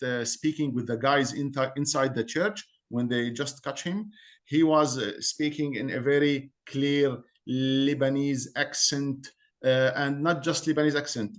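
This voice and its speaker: A man from Syria with an Arabic accent, a community guest speaking earnestly in halting English and repeating words as he searches for them. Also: mid-range voice. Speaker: guest, Assyrian community member. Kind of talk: interview on a call-in podcast.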